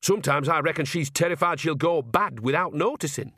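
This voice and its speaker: A man's voice: fairly high pitch, a little fast, bright, forceful tone, husky, dry recording, studio quality, pitched above the performer's own voice, full character voice. Man voicing a blunt, earthy old witch. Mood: gossipy, frank.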